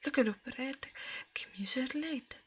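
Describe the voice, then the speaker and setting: A woman's voice: sits high, breathy edge. A woman whispering breathily in an ASMR style, close to the microphone, with playful repetition. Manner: slow, playful repetition of syllables and words. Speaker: ASMR streamer. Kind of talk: whispered ASMR storytelling with repeated nonsense trigger sounds.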